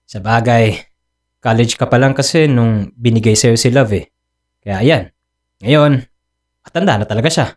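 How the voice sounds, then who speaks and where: A man's voice: mid-range voice, moderate pace, pauses often, lightly acted. An actor with a Filipino accent playing a caring, easygoing young husband who reassures his partner in a radio drama. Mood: curious. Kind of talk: Tagalog radio drama acting.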